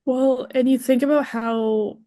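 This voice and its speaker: A woman talking as a podcast guest in a chatty, expressive, casual way. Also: high voice, a little slow, no room sound, studio quality.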